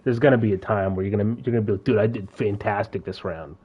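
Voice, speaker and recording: Casual male streamer, deeper voice, loose and giggly, chatting mid-game. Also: steady tone, fast talker, dull audio, a crowd in the background. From India. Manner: laid-back, laughs often, repeats words. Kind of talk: casual gaming chat.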